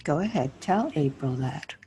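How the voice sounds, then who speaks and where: A woman working as a hypnotherapist, gently prompting and affirming in a soothing guided hypnosis session, with some background noise. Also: sits low, moderate pace. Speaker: hypnotherapist guiding a client. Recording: steady noise, USB microphone.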